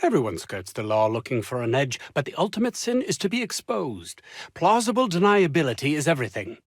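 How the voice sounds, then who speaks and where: Haughty, high-pitched, anxious scientist. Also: male voice, sing-song, brisk, dry recording, clean studio sound. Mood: suspicious, scornful. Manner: pompous, formal diction, fussy, indignant when flustered. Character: haughty, anxious, ambitious lead scientist, a man. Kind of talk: scripted video game character dialogue.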